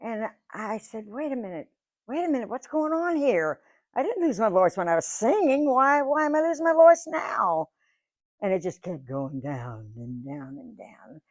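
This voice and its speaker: A woman's voice: a little high, big pitch swings, normal speed, no room sound. Old lady in her 90s spinning stories at a museum talk, folksy and chatty, dead dry sound. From Georgia, USA. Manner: folksy, chatty storyteller who acts out quoted dialogue. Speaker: guest speaker. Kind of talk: storytelling to a live audience. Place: museum event room.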